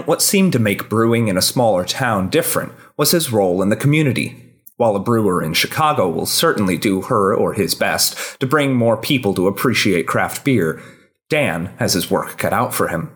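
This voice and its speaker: A man's voice: on the low side, fairly bright tone. A man hosting a podcast and reading a scripted personal essay aloud.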